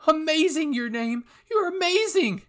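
Guy doing a gushy excitable soldier lady, way up high, anime fanfic story. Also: moderate pace. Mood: overjoyed. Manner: gushing, exclaiming. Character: excitable, squealing woman scientist-soldier, gushing with glee. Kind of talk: fan fiction audio reading.

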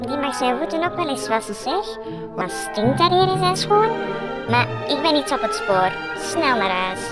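A curious little male gnome turned detective , acted by a woman with a Flemish accent. The voice is fairly high, in an amateur audio drama, with music clearly playing behind it. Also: sing-song, moderate pace. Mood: grumbling, disgusted. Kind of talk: amateur radio play acting.

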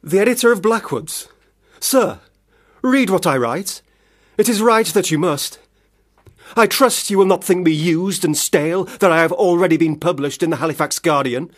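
An actor playing an unstable, self-pitying young Victorian brother, declamatory and erratic, in a period radio drama. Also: male voice, high voice, animated, moderate pace. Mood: grandiose pleading.

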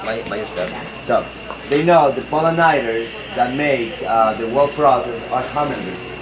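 A man's voice: mid-range voice, normal speed, a crowd in the background. A man, working as a nature guide, who quizzes a group about plants and explains patiently, recorded outdoors from a camera a few steps away. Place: outdoors in tropical garden. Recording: roomy outdoor sound with background noise.

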